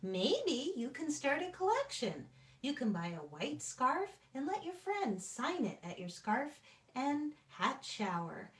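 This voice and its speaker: Very lively, unhurried woman storyteller. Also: sits high, reverberant. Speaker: children's storyteller, actor. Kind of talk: dramatic read-aloud and talk.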